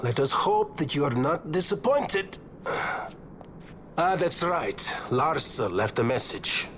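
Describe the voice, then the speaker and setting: An actor playing a suave nobleman envoy in a game cutscene, speaking in florid, measured, theatrical phrases. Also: on the high side, animated, fairly bright tone, slight background noise. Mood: grave, disappointed. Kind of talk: game cutscene drama acting. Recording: game music behind the voice.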